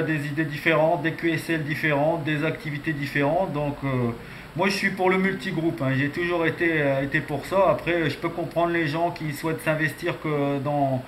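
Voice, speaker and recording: A man's voice: fairly high pitch, a bit bright. Man on echoey, noisy radio chat. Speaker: amateur radio operator. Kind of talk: casual radio contact chat.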